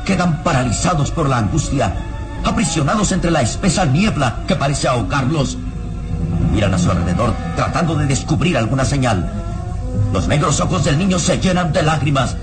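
A male narrator with a fairly high voice tells a vintage radio drama. His delivery is grave, theatrical and full of suspense, with clear music behind him. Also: animated, moderate pace.

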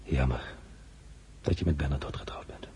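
An actor playing a commanding, weary emperor in a radio drama, halting and imperious, with a nostalgic streak. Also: male voice, a bass voice, gravelly, dark tone, in a whisper. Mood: tender regret. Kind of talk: dramatic radio-play acting.

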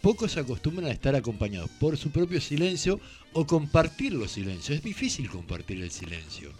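A man's voice: on the high side, very animated, fairly quick, a little mellow, soft background music. A man chatting casually on the radio.

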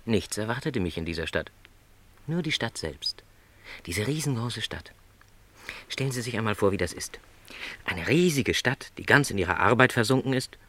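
A man's voice: on the low side, expressive, normal speed, pauses often, fairly bright tone. An actor playing a lonely, dreamy middle-aged travelling salesman in a radio drama, speaking in reflective, rhapsodic literary phrases. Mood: wistful, musing.

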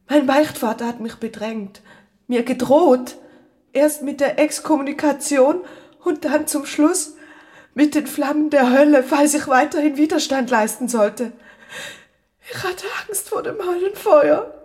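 A woman's voice: high voice, measured pace, a little mellow. An actress in a German radio drama playing a pious, frightened young servant woman condemned though innocent, speaking with pleading emotion. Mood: anguished.

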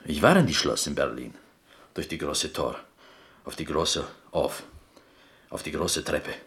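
An actor playing a proud, melancholy Greek man from Corfu in a radio drama, telling his tale in halting, broken German with a Greek accent. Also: extremely low pitch, fairly bright tone, full character voice. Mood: earnest, excited.

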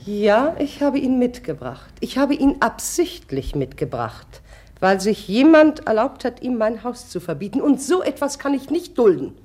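A woman's voice: on the high side, very animated, unhurried, darkish tone. A domineering mother barks orders and scolds in a brusque, commanding manner. Her voice swoops widely as she talks. It has the stagey delivery of 1950s radio acting. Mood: indignant. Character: bossy domineering mother of grown daughters.

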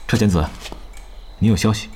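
An actor playing a cool, terse top pro gamer who speaks in calm, clipped lines. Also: male voice, fairly low pitch, normal speed, a little hiss or hum, close to an everyday voice. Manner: calm, curt, understated.

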